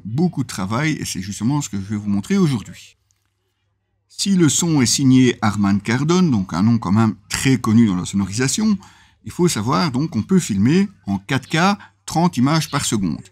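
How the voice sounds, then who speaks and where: A man speaks as the host of a product review video. His voice sits at an average pitch. He explains features in a semi-scripted way, with small hesitant pauses inside his sentences. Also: darkish tone.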